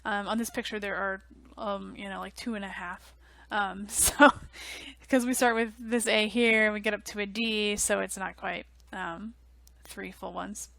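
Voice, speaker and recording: A young woman teaching music theory in a relaxed, chatty way, full of ums and likes, on a headset mic in a small office. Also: medium pitch, unhurried, gravelly, no echo. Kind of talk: casual online lecture.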